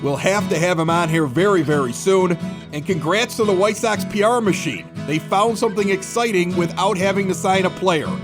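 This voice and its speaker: A man's voice: high-pitched, normal speed, over music. A middle-aged American man hosting a sports podcast, giving opinionated, emphatic conversational commentary. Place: home basement studio.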